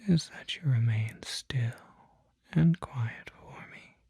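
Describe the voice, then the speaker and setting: A performer voicing a calm, courteous demon doctor in an ASMR roleplay, whispering softly with a measured, reassuring, formal bedside manner. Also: medium pitch, no echo, studio quality, exaggerated voice. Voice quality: breathy, whispery.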